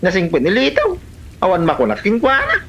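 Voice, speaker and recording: An actress plays a concerned Filipino village relative, forever persuading, in a comedy drama. Her voice is husky and swoops dramatically, on a slightly dull recording. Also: medium pitch, wildly swooping pitch, brisk, heavily acted.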